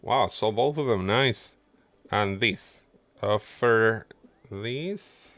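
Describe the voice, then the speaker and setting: A man's voice: on the low side, very slow, fairly bright tone, no echo. A man doing casual livestream game commentary, muttering his running thoughts to himself as he plays. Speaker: game streamer.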